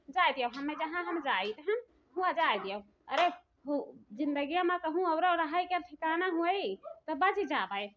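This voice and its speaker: A woman voices a high-pitched village daughter-in-law in a dialect cartoon comedy. She is weary of taunts and complains in a plaintive, repetitive ramble with a rural eastern UP accent. The recording is dull and lo-fi. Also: close to an everyday voice. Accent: rural eastern Uttar Pradesh. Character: aggrieved elder daughter-in-law, weary of taunts, threatening to leave.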